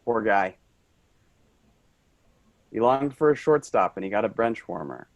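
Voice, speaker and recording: A man's voice: lowish voice, expressive, a little slow, dry recording. A man playing an earnest, rambling office worker, tipsy and brooding, in a staged play reading. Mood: wistful, tipsy.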